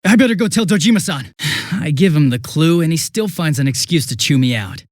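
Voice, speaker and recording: A man's voice: on the high side, expressive, brisk, no room sound, clean studio sound, lightly acted. A man voicing a goofy, chatty, hapless young police detective in a video game, with a casual, flustered, rambling manner. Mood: hesitant, thoughtful. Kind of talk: English video game voice acting.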